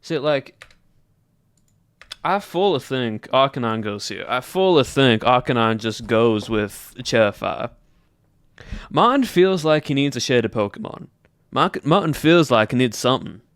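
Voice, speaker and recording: A man's voice: medium pitch, expressive, a little slow, clear, resonant tone, dry recording, studio quality. A man streaming live and chatting casually with viewers in a put-on Southern accent, musing aloud in a loose drawl. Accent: put-on American Southern. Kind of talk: casual livestream chat with viewers.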